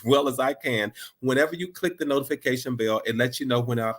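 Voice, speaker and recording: A man's voice: medium pitch, fairly bright tone. A man with a congested, stuffy voice hosting a live motivational channel, upbeat and encouraging, repeating phrases for emphasis. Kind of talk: live-streamed motivational talk.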